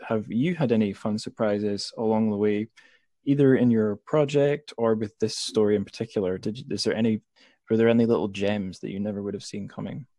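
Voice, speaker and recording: A man's voice: lowish voice, warm tone, dry recording. A man hosting a conversational podcast interview, thoughtfully rephrasing his questions as he goes.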